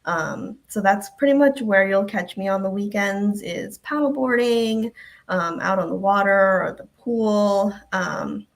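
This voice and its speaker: A young woman from Ecuador talks casually as a guest, filling her sentences with ums and likes. Her voice sits at a middling pitch with a gravelly fry at the ends of phrases. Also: a little slow. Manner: chatty, many ums and likes. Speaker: guest, health department coordinator. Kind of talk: casual live-stream chat.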